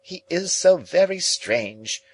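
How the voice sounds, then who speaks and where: A man acts out a middle-aged society lady from a storybook, full of indignation and self-pity. The voice is lifted well above his own, theatrical and emphatic, exclaiming as if deeply wronged. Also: animated, slow, breathy edge, exaggerated voice, rough recording. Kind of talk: dramatised audiobook reading.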